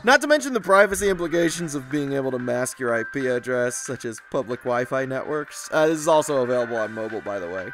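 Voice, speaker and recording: A man's voice: medium pitch, fast talker, few pauses. A young man in casual promotional mode. He is playful and informal, a video creator talking over faint music. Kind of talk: casual sponsor read.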